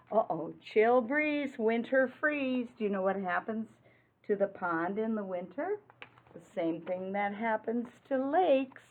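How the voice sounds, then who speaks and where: Phone-like narrow sound, woman, teacher reading to young children, deliberate rhyming words, simple explanations, frequent questions. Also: medium pitch, slight background noise. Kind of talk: picture-book read-aloud with explanations and questions.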